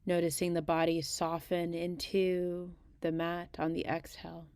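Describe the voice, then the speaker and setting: A woman guiding a meditation in a gentle, unhurried manner, leaving long pauses between short phrases. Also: on the low side, completely flat delivery, smooth, no echo, clean studio sound. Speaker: meditation guide. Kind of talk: guided body scan meditation.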